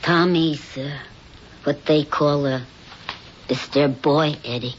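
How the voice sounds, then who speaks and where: A woman's voice: low voice, steady tone, slow pace. An actress playing a caring middle-aged mother figure in a radio drama, earnest and reproachful, pleading as she scolds. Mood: gentle, consoling. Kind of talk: old-time radio drama acting. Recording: dull, noisy old radio recording.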